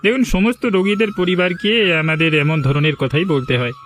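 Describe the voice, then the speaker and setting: A man's voice: high voice, expressive, a little fast, fairly bright tone, faint music behind. A man playing a courteous, firm village doctor in a cartoon, giving reassuring advice. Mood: advising, reassuring. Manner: advising, courteous. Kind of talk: cartoon dialogue acting.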